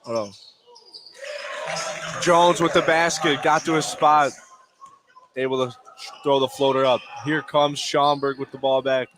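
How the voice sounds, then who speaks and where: A man doing live play-by-play basketball commentary for radio in clipped phrases, lifting into excited calls on big plays. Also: medium pitch, measured pace, long pauses. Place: indoor basketball gymnasium. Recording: noisy gym ambience. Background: gym noise, bouncing basketball.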